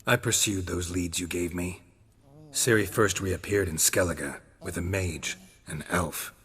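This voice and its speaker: An actor playing a weary, defiant monster hunter in a video game, dry and terse in a low gravelly voice. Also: male voice, unhurried, fairly bright tone, long reverb tail. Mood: grim reporting.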